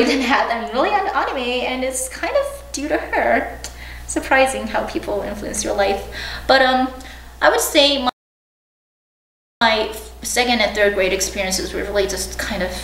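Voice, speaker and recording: A young woman from China and the United States casually recounting personal memories in an informal, hedging way as an interviewee. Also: medium pitch. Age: young adult. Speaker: oral history interviewee. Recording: roomy, distant mic, faint background noise.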